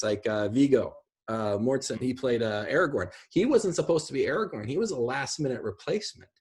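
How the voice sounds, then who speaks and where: Animated, slightly husky man on a video call. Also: mid-range voice. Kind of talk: casual film discussion on a video call. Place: indoor room at home. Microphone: video call, sitting back from a computer.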